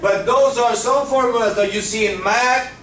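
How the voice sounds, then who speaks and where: A man's voice: very high voice, moderate pace, fairly bright tone, slight background noise. A man with a Cuban accent teaching a physics class, often checking in with his students. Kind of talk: classroom lecture. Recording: echoey classroom from far off.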